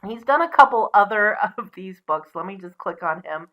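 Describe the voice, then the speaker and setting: A woman presenting as a storyteller, mixing expressive storybook reading with casual asides. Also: medium pitch, moderate pace, highs cut off.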